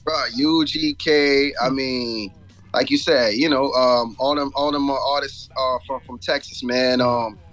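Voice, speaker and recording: A man's voice: medium pitch, steady tone, measured pace, fairly bright tone, soft background music. A man from Jamaica and Florida chatting casually as a rapper guest in an interview, telling stories in slangy talk with frequent asides. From born in Jamaica, grew up in Florida.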